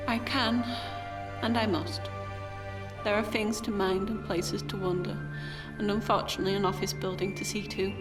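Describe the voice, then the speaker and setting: An actress playing an enigmatic, brisk female time traveller with formal, commanding phrasing in an audio drama. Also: medium pitch, flat delivery, music in the background, lightly acted. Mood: brisk, aloof.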